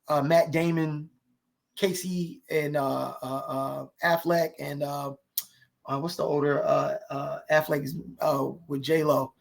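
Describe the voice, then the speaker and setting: A man's voice: fairly high pitch, gravelly. A man speaking as an interview guest, telling stories conversationally with emphatic rhetorical questions and check-ins with the listener. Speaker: podcast guest, actor.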